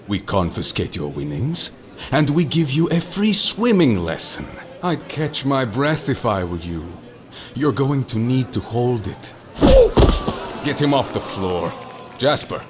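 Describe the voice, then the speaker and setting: An actor playing an urbane, menacing male captain of a pleasure ship in an audio drama, speaking in a deliberate, theatrical way. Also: medium pitch, very animated, noisy background. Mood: cold menace. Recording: music behind the voice. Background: music.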